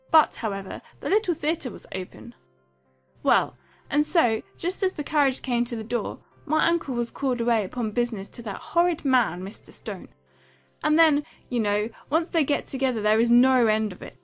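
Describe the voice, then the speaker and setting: A woman's voice: a little high, lightly acted. A woman playing a giddy, chattering young newlywed in a dramatized audiobook, gushing and rambling with thoughtless self-absorption. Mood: breathless chatter. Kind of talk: dramatized audiobook character acting.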